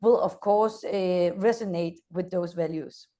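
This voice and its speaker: A woman from Denmark in her thirties with a Danish accent, speaking as an energetic company founder in an interview and pausing often on "uh". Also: fairly low pitch. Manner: energetic, frequent "uh" hesitations. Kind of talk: interview conversation.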